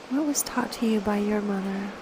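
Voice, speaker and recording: Female meditation guide: gentle, slow delivery, frequent vocal fry, noticeable background ambience. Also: medium pitch, background noise.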